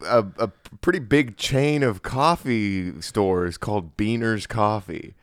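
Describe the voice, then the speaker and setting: A man's voice: lowish voice, takes it slowly, no room sound. A man in casual podcast chat, dropping wry, dry quips and stumbling over his starts. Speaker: podcast co-host or guest.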